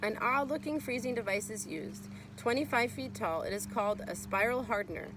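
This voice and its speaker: A woman's voice: fairly high pitch, brisk, noisy background. A woman reading a children's nonfiction book aloud as a teacher would, explaining and speaking to young listeners.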